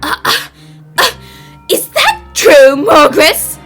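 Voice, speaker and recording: A woman's voice: high-pitched, very animated, takes it slowly, a bit bright, faint music behind, exaggerated voice. A woman voicing a haughty, money-hungry, short-tempered young fiancee in an anime dub, with an indignant, demanding manner. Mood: shrieking outrage.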